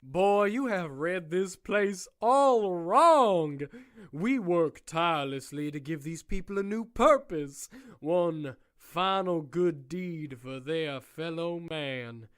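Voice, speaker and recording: An actor playing a smug, condescending prison warden who speaks slowly and deliberately in an audio drama. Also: male voice, fairly high pitch, lively intonation. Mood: menacing. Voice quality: smooth, condescending, slow and deliberate. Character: smug menacing male prison warden, middle-aged.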